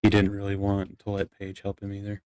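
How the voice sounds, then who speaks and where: A man's voice: lowish voice, completely flat delivery, brisk, a little mellow, clean tone, no room sound, clean studio sound, lightly acted. A man playing an easygoing, supportive court member in an improvised game roleplay, casual and a little tentative.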